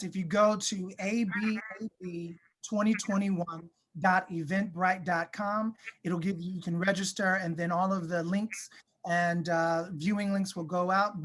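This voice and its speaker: A man's voice: sits high, a bit bright. A man talking as an interview guest, conversational, articulate and emphatic in making points.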